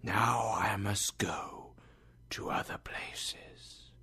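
A mournful ghost of a dead old businessman, dragging his chains and speaking in a hushed, breathy whisper, as a storyteller puts him on. The voice sits low and drawn out, grave and full of regret. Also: steady tone, heavily acted. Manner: slow, grave.

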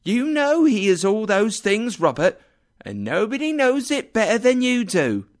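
A man's voice: high voice, sing-song, takes it slowly, pitched above the performer's own voice, exaggerated voice. A man voicing an indignant working-class mother who speaks with scorn and heat. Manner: scornful, heated.

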